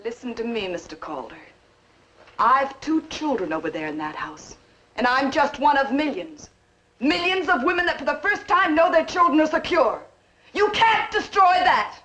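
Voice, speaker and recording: A woman's voice: high-pitched, sing-song, normal speed, bright, forceful tone, exaggerated voice. An actress playing an earnest, protective wife and mother, speaking emphatically in 1950s film style. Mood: pleading. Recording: muffled, noisy 1950s film soundtrack.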